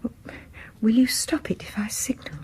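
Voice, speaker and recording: A woman's voice: fairly high pitch, moderate pace, a little mellow, in a whisper, lightly acted. An actress playing a shy, proper, questioning woman in a radio horror drama, speaking politely and tentatively with a British accent. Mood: puzzled, uneasy.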